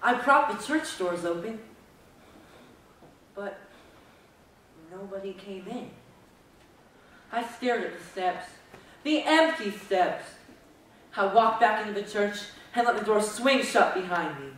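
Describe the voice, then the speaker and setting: A woman's voice: medium pitch, expressive, takes it slowly, long pauses, fairly bright tone. A woman playing a solemn, lonely small-town preacher in dramatic stage storytelling. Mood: forlorn. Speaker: actor in a student readers theater group. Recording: very echoey hall, distant mic.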